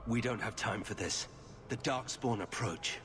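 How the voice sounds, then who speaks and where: A man's voice: on the low side, music in the background, lightly acted. Man acting a grave fantasy warrior, cavernous reverb. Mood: resolute, solemn. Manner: measured, formal, serious. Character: grave, guarded veteran warrior scouting dark tunnels. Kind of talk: fantasy video game dialogue. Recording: echoing reverb with background noise.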